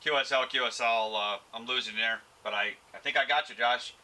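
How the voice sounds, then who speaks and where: Male ham radio operator and video host, casual and halting, average pitch, very noisy radio-static backdrop. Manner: halting, uses radio procedure words and callsigns. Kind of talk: casual ham radio contact with on-camera commentary. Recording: loud radio static and noise. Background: radio receiver noise and static.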